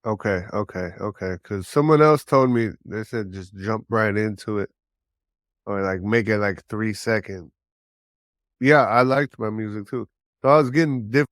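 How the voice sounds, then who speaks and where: A man's voice: on the low side, no echo, clean studio sound. A man chatting casually as a podcaster getting feedback on his work. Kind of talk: casual chat.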